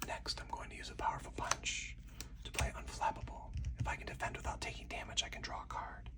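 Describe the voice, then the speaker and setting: Someone speaking in a breathy, deliberate, hushed whisper, in the style of an ASMR game playthrough with commentary. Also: far from the mic. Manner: slow, deliberate, hushed delivery. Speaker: solo card game player and host.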